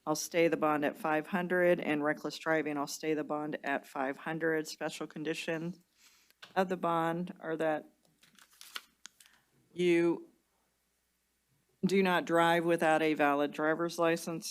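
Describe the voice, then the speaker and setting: A woman judge running bond hearings, reading charges and setting bonds in a brisk, procedural way. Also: low voice, little pitch movement, clear, resonant tone.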